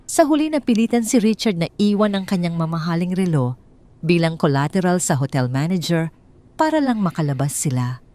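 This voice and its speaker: A woman's voice: on the low side, sing-song, a little fast, mellow. A woman with a Filipino accent narrating a scripted dramatic story.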